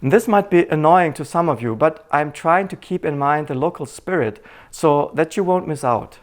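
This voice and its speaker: A man's voice: fairly high pitch, expressive, moderate pace. A middle-aged man with a German accent, a urologist hosting his channel, giving a scripted introduction in an earnest, dryly humorous way.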